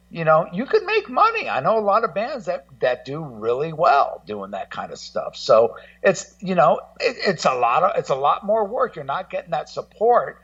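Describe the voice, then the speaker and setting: A man's voice: fairly high pitch, a bit bright. A middle-aged man talking casually as a guest on a music show, rambling and repeating himself, with some salty language. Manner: rambling, repetitive, salty-tongued. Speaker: guest co-host and music documentary maker. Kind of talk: casual music-business discussion. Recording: muffled, distant-sounding audio.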